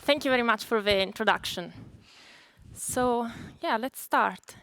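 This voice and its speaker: A woman from Italy with an Italian accent, a native Italian speaker, giving a conference presentation as a data scientist in a halting, explanatory way. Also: on the high side, fairly bright tone, clean tone, dry recording, some chatter behind.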